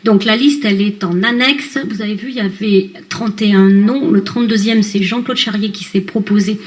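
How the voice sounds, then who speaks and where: A woman with a medium-pitched voice presents business at a town council meeting. She explains procedure in a formal yet unscripted way, as a local official addressing colleagues. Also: fairly even intonation, normal speed.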